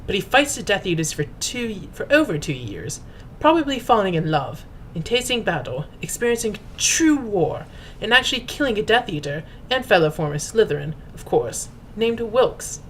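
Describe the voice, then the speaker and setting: A woman's voice: medium pitch, big pitch swings, moderate pace, few pauses, slight background noise, low-quality recording. A woman host reading aloud as a dramatic narrator, in a pompous, mock-grand and formal manner. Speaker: host and reader. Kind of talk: theatrical dramatic reading of fan fiction.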